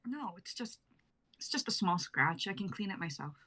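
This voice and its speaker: A woman's voice: medium pitch, animated, moderate pace, slightly rough. Woman reading a foul-mouthed diva singer. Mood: tearful. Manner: swears a lot, swings from shouting to whispering. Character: self-absorbed young woman singer, volatile. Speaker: actor in a live script reading. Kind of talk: amateur dramatic reading.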